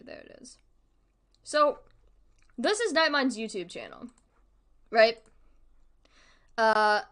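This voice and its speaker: A woman hosting a livestream, talking casually to viewers in a chatty, emphatic way and repeating points for emphasis. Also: high-pitched. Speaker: streamer hosting a discussion.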